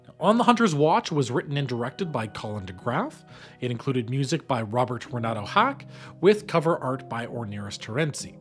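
A male presenter reads a scripted outro and credits. His mid-pitched voice moves with lively intonation, and music plays softly behind him. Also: normal speed. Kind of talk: scripted promotional narration.

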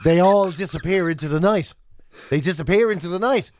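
A man's voice: high voice, fairly quick, darkish tone, no echo, clean studio sound. An Irish man co-hosting comedic radio banter, telling stories in a mock-earnest way. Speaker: radio show co-host. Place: radio studio.

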